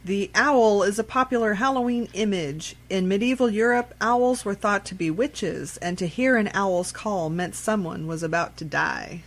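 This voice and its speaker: A woman's voice: medium pitch, normal speed, hardly any pauses. A woman co-hosting a radio show, reading out trivia and chatting casually about it.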